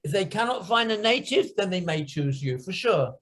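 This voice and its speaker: A man's voice: high-pitched, animated, moderate pace, fairly bright tone. A man teaching English learners in an online class, explaining patiently, listing examples and checking understanding over a video call. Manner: patient, repeats and lists points, checks understanding with "right?".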